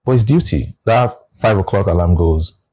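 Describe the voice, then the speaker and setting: A man in his forties with a Nigerian English accent, talking as an interview guest. His voice sits low, with a mellow, husky tone. He reflects aloud in an easy conversational way. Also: moderate pace. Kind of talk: casual interview chat.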